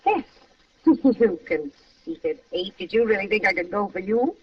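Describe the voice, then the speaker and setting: A woman's voice: mid-range voice, lively intonation, measured pace, husky, far from the mic. A woman acting a cold, mocking femme fatale in an old radio crime drama. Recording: muffled old radio recording, off-mic.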